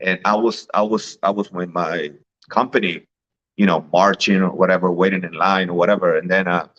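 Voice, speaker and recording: A man's voice: sits low, steady tone, normal speed, a bit bright, lo-fi sound. A man who grew up in Mexico, chatting casually and telling stories, often repeating words and laughing. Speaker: guest. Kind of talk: casual chat.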